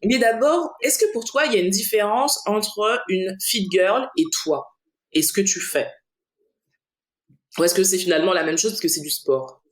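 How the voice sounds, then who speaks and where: A woman's voice: mid-range voice, moderate pace. A woman hosting a podcast, asking questions in an upbeat, enthusiastic, colloquial way. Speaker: podcast host. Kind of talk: casual podcast interview. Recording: roomy echo.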